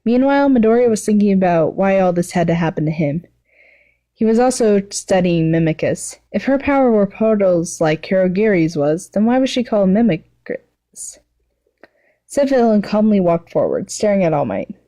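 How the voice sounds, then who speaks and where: Woman, mellow dark tone, middle pitch, casual story narration, self-correcting amateur reader. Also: sing-song, normal speed. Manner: casual, stumbles and corrects herself aloud. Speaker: fan fiction reader.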